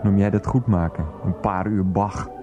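An actor playing an aggrieved, sarcastic former schoolteacher who grumbles defensively in a comic radio play. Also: male voice, sits low, steady tone, normal speed, lo-fi sound, natural voice. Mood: indignant, bitter. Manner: defensive, repetitive, indignant muttering with filler phrases. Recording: music behind the voice.

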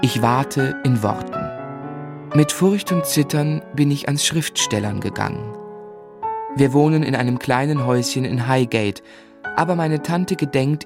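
A man's voice: medium pitch, creaky, natural voice. An actor playing a tender, dreamy young man in love who narrates his life lyrically and reflectively in a literary radio play. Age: young adult.

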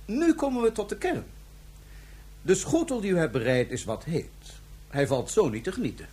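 A man's voice: sits high, sing-song, moderate pace, a little mellow, husky, full character voice. An actor playing a suave, mocking imperial ruler in a Dutch science fiction radio drama, theatrical and condescending in his ornate phrasing. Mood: smug, commanding.

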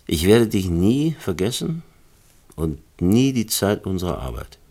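A very low, gravelly male voice as a brooding film artist in a radio play. He muses haltingly, with a tender streak under the gloom. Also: very animated, mellow, full character voice. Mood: tender, wistful. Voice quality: deep, creaky. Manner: hesitant, musing, halting. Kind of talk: German radio drama acting.

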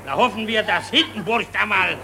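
An actor playing a brisk, jovially patronizing German army officer in a satirical radio drama. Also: male voice, sits high, creaky, crowd noise. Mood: jovially condescending.

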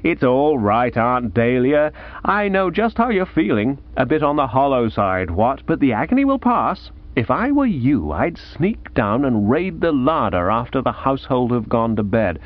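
A man voicing a breezy, genial young gentleman talking with his aunt. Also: medium pitch, sing-song, normal speed, muffled recording, natural voice. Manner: breezy, jaunty, upper-class. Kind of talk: audiobook character voice.

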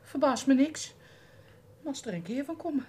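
A woman's voice: high-pitched, lively intonation, moderate pace, a little mellow. An actress playing a weary, suspicious middle-aged mother hardened by trouble, curt and grumbling in a radio drama. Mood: bitter, resigned. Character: weary, suspicious, hard-bitten mother of a criminal. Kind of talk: Dutch radio play acting. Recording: clearly noisy radio drama recording.

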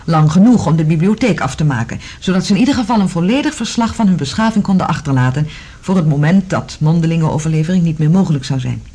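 A woman's voice: sits low, lively intonation, quick pace, dark tone, slight background noise. A woman acting an earnest scientist in a science-fiction radio drama, explaining in an articulate, thoughtful way. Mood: explanatory, earnest. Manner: expository, articulate.

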